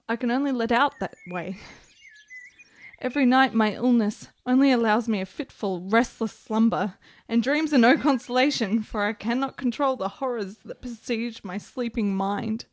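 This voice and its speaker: High-voiced, bitter, melancholy gentlewoman played by an actress. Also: normal speed, no room sound, natural voice. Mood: brooding. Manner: formal, ornate, dramatic delivery. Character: ailing, bitter, melancholy young Italian gentlewoman, intense and morbid. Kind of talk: period radio drama acting.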